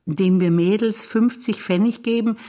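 A woman, a stage actor, reciting childhood memories in a theatre performance in a plain, even way. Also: mid-range voice, a little slow.

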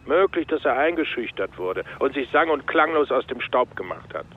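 An actor playing a curt, guarded gendarmerie captain, formal and dismissive, heard through a telephone filter in a radio crime drama. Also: male voice, mid-range voice, lively intonation, normal speed. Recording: thin, narrow telephone line.

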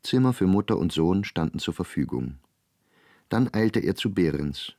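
Radio drama casting: composed narrator, low-voiced, subtly ironic, in a dry close studio sound. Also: male voice, lively intonation, normal speed, a little mellow, dry recording. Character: composed, dryly ironic literary storyteller. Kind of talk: scripted literary radio narration.

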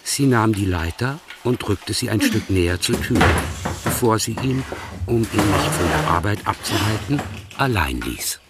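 Low-voiced male radio narrator. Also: expressive, a little slow, very noisy. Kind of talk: scripted narration.

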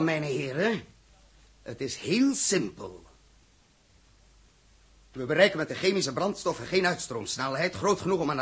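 An actor playing a blunt, sarcastic space captain in a 1960s Dutch radio play, with a husky voice. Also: male voice, fairly high pitch, a bit bright, heavily acted. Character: blunt, hot-headed male space captain, sarcastic toward experts. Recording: old 1960s radio drama recording.

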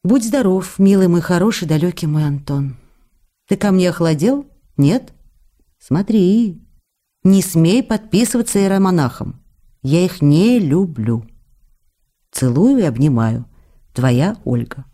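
Lively, playful actress in love. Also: female voice, on the low side, a little slow, long pauses. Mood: warm, chatty, then teasing, tender. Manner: intimate, coquettish, expressive. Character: affectionate, playful, teasing actress writing to her faraway lover. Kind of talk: radio drama reading of personal letters.

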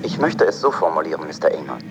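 A man playing a calm, softly menacing spaceship computer in a dubbed science-fiction film, clear and gently arrogant, with no electronic distortion. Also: rumbling low, fast talker, background noise, exaggerated voice. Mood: smug calm. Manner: gentle, blasé, quietly arrogant.

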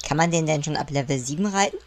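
A servile gnome advisor played by a woman in a fantasy comedy radio play. The voice sits low, and the delivery is hesitant, fussy and deferential toward royalty. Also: quick pace.

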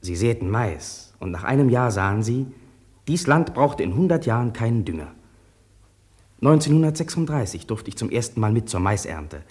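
A man's voice: medium pitch, very animated, normal speed, darkish tone, husky. An actor playing a farmer's son who soberly recalls his lost home village, narrating a radio drama in the first person. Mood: reflective. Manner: sober, reflective recounting.

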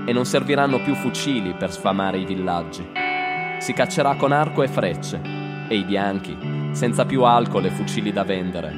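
Man narrating dramatically over loud guitar music. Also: lowish voice. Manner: theatrical, declamatory, short deliberate phrases. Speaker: storyteller, reader of own texts. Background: guitars and rhythm section.

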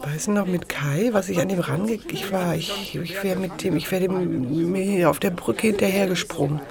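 A woman's voice: lowish voice, normal speed, vocal fry. An actress playing a chatty, anxious young woman in a naturalistic radio drama, talking in a rambling, colloquial, self-deprecating way. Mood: rueful, upset. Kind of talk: naturalistic improvised-sounding radio drama dialogue. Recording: busy crowd chatter around voices. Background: crowd in a bar or café.

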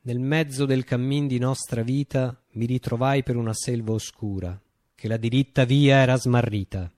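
A man reciting a theatrical monologue for radio, declaiming with dramatic pauses and emphatic phrasing. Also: mid-range voice, steady tone, a little mellow, no room sound, clean studio sound.

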